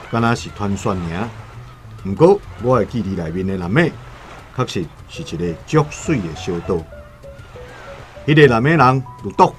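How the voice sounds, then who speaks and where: An actor playing a reflective seafaring trader in a radio drama, with a Taiwanese accent and measured storytelling. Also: male voice, on the low side. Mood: reflective, nostalgic. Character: male seafaring trader, reflective and matter-of-fact. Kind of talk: radio drama monologue and dialogue. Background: faint music.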